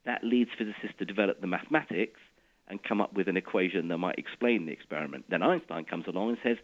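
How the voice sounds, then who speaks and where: Low-voiced middle-aged guy from iraq, physicist guest, keeps restarting words, muffled radio sound. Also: quick pace. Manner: restarts and repeats words while explaining. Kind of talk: explanatory interview talk.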